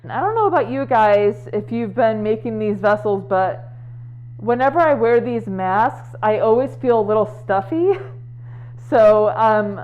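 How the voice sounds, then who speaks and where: A woman talking through a craft tutorial in a relaxed, chatty way with lots of ums, her voice nasal and muffled from a face mask. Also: on the high side, measured pace. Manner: relaxed and chatty, many ums, light laughs. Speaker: candle maker showing a craft. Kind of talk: casual tutorial talk. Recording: tinny phone-like sound.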